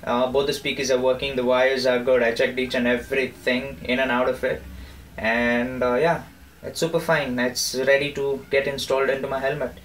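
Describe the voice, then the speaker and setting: A man's voice: mid-range voice, level tone, quick pace, fairly bright tone, slight background noise, poor audio. A man with a South Indian accent chatting casually as he gives a hands-on installation walkthrough in a homemade vlog. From Bangalore, India. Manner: chatty, mixes in Kannada phrases. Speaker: motorcycle vlogger.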